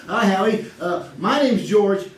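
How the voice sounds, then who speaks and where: Guy doing a stammery eager contestant, high voice, far-off roomy stage mic. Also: unhurried, a little hiss or hum. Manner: eager, stammering, over-excited. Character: eager flustered married man, graphic designer. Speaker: game show contestant. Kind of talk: live stage radio comedy. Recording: echoey stage audio from far off.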